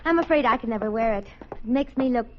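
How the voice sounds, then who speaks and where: High-voiced polite young lady, acted on old radio. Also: fast talker, close to an everyday voice. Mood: polite, chatty. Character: polite, anxious female friend in a small town. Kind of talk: 1940s radio drama acting. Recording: muffled, faintly noisy vintage radio recording.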